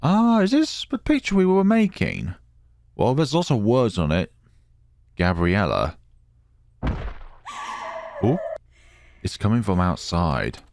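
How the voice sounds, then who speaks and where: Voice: mid-range voice, huge pitch swings, slow, pauses often, a little mellow. A gamer giving casual commentary, reacting and reading game text aloud expressively, close to the microphone. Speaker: gamer, let's player.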